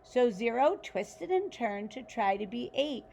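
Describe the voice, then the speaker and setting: A woman reads a children's storybook aloud in a slightly high voice. She is expressive and takes her time, lingering over phrases like a teacher with a class. There is some creak in her tone and faint noise behind her. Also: poor audio. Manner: animated, deliberate, pauses to ask listeners questions. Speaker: teacher reading a picture book aloud to children.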